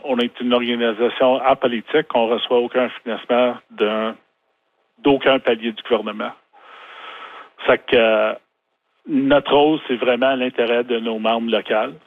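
A man from Canada, a business owner, giving a phone interview in a halting way, restarting words and filling pauses. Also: mid-range voice. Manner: halting, many restarts and fillers. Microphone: telephone. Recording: tinny phone line.

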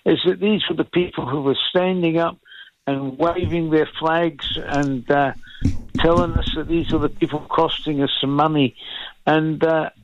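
A man in his seventies with a Scottish accent, calling in to a talk radio show, speaking earnestly and haltingly. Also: on the high side, dry recording, highs cut off. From Scotland. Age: 70s. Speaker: caller.

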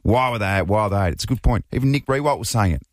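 An Australian man from the Riverina co-hosting a casual sports chat, emphatic and animated, often repeating words as he gets worked up. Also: lowish voice, very fast, no echo, studio quality. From Riverina, New South Wales, Australia. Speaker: sports show co-host.

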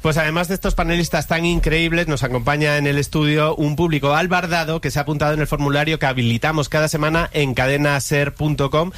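A man's voice: a little high, fairly even intonation, fast talker, few pauses, a bit bright, dry recording. A man with a Spanish accent from Spain, presenting a comedy quiz show on the radio in a casual, joking way.

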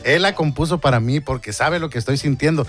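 A man's voice: a little high, fairly quick, a bit bright, soft background music. A man hosting a morning radio show, chatting casually and laughing easily with his guests. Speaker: radio host.

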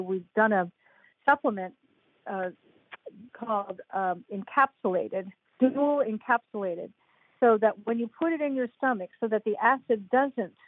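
An older woman chatting frankly and warmly as an interview guest, laughing easily as she talks. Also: mid-range voice, no room sound. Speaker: guest, author. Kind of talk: casual interview chat. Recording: dry, tinny phone-like line.

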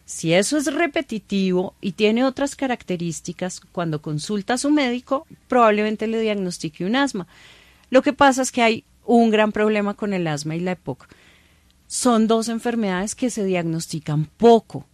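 A woman doctor, calmly explaining things to a host in an interview, using everyday examples. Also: medium pitch, moderate pace, no room sound. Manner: calm, patient, explains plainly with everyday examples. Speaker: doctor, guest expert.

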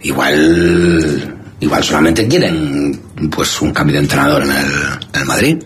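A man's voice: extremely low pitch, measured pace, slightly rough. A man with an accent from Spain co-hosting a podcast in casual, comic banter, wordy and theatrically indignant, repeating himself for effect. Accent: Spanish from Spain. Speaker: podcast co-host. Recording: noticeable background ambient noise.

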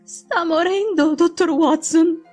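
A woman's voice: very high voice, fairly even intonation, slow pace, a little mellow, faint music behind, voice pushed up. A woman reading an audiobook voices a frightened older housekeeper, urgent and pleading with worry. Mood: anxious pleading.

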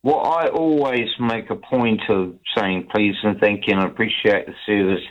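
A man's voice: fairly low pitch, moderate pace. Older man calling in, dull phone line. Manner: earnest and eager to please, repeats himself. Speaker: caller. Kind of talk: casual phone-in chat.

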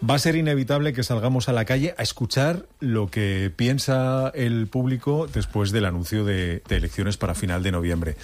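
A man hosting a radio talk show in a brisk, conversational way. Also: mid-range voice, darkish tone. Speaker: radio host.

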